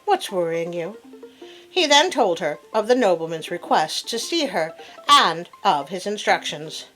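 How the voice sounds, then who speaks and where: A woman telling a fable as host in an expressive, theatrical read-aloud style with playful asides. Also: mid-range voice, unhurried, low-quality recording. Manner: theatrical, animated. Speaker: storyteller host. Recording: classical music playing behind. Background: soft piano sonata.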